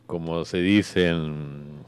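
An actor playing an easygoing, evasive celestial clerk in a comic radio play, rambling and hesitant, with a colloquial Argentine accent. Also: male voice, very deep voice, fairly even intonation, very slow, smooth, full character voice.

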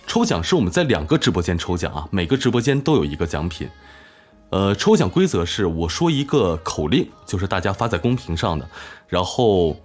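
A man with a low voice co-hosts a casual online event. His intonation swings widely as he reads out questions and gives announcements. Soft music plays behind him. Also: slightly rough. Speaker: live-stream co-host of an audio drama event. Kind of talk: casual hosting, reading out questions. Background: background music.

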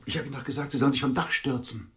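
Bitter, husky-voiced homeless man. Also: mid-range voice, steady tone, racing. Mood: cutting. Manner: theatrical, blunt, insulting. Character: bitter, cutting homeless man on a blind date. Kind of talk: radio play acting.

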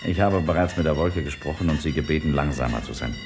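A man's voice: rumbling low, fast, over music. An actor playing a determined, self-sacrificing male scientist, speaking firmly and earnestly in a 1950s radio drama. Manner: firm, resolute, earnest.

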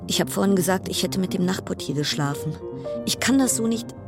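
A woman's voice: lowish voice, lively intonation, a little fast, over music. An actress in a radio drama playing a wry, anxious cruise passenger stranded at sea, telling her story in literary, broken-off sentences. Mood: confiding, uneasy. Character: weary woman passenger stranded on plague-stricken cruise ship, wry, anxious.

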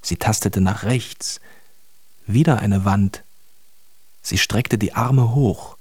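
A man narrating a children's radio story in a measured storytelling manner. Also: on the low side, a little mellow, husky, no room sound.

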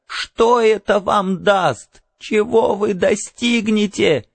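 A man's voice: high voice, slow pace, gravelly, dry recording, voice pushed up, full character voice. A man voicing an anxious old man who pleads, warns and commands urgently, in a dramatised audiobook. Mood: desperate pleading.

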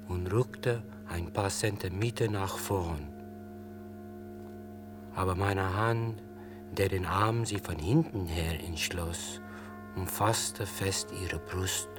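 An actor playing a tender, furtive lover narrating a seduction in literary radio play style. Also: male voice, low voice, slow pace, long pauses, over music. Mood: tender, intimate.

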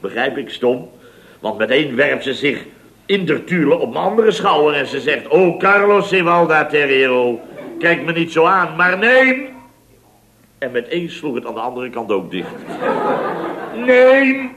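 A man's voice: a little high, sing-song, measured pace, fairly bright tone, a little hiss or hum, full character voice. An actor playing a flustered, indignant, chatty man in a radio comedy, rambling and repeating himself as he tells his story. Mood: aggrieved, exasperated. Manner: rambling, repetitive storytelling with stammers and rhetorical tags. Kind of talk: radio comedy acting in a dialogue sketch. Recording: radio recording with audience behind.

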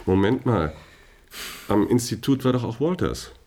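An actor playing a patient, concerned spaceship officer in a science fiction radio drama, measured and placating in manner. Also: male voice, medium pitch, lively intonation, a little mellow.

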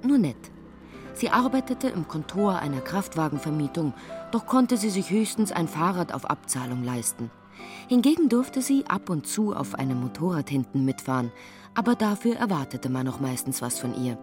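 A plain, good-natured young woman storytelling in a radio drama. Her voice is low for a woman and very expressive in pitch, over faint music. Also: normal speed. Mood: wistful, storytelling.

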